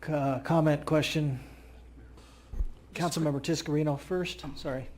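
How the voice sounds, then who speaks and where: A man's voice: on the high side, fairly even intonation, moderate pace, darkish tone. A man chairing a public meeting with brief procedural remarks, calling on members to speak.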